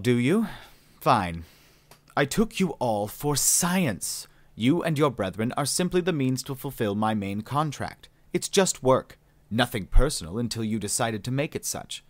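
A man reading an audiobook, voicing a calm, condescending male alien scientist with polite, mocking, unhurried speech. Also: medium pitch, dry recording, pitched above the performer's own voice, lightly acted. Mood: irritated, lecturing.

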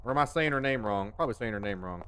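A man's voice: fairly low pitch, expressive, very fast, fairly bright tone, slight background noise. A man, chatting and joking on a headset mic while streaming a game from his home desk. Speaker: gaming streamer. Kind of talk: casual live commentary while playing.

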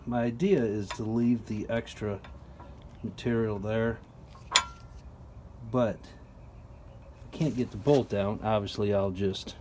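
A man casually explaining a tool setup while working with his hands, chatty and relaxed with plenty of ums. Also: on the low side, background noise. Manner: relaxed, chatty, lots of ums and you-knows. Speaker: product reviewer. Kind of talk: casual how-to narration.

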